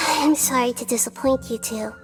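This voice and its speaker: A woman voicing a small, gentle, wise childlike goddess in a video game, composed and articulate, with a soft girlish voice. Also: high-pitched, normal speed, loud music behind the voice, lightly acted. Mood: sympathetic. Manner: composed, articulate, kindly explaining.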